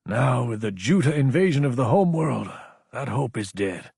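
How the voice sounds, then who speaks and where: A man's voice: mid-range voice, animated, moderate pace, gravelly, a little mellow, slightly rough, no room sound, clean studio sound. A man voicing a desperate man's recorded distress message in a sci-fi audiobook, solemn, urgent and declamatory. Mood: grave, desperate. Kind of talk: dramatic audiobook character voice.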